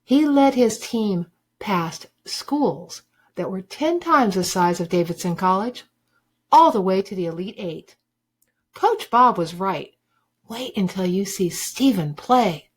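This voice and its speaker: A woman reading a children's book aloud like a teacher, with deliberate, emphatic phrasing for young listeners. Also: medium pitch. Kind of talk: expressive storybook read-aloud.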